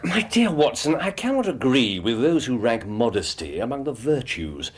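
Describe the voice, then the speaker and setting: An actor playing a brilliant, self-assured English gentleman detective in a radio drama, lecturing precisely with theatrical emphasis. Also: medium pitch, big pitch swings, moderate pace, slightly rough.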